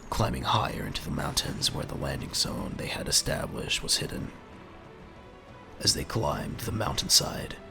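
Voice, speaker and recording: A man narrating a scripted dramatic story in a measured, descriptive way. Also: very deep voice, vocal fry.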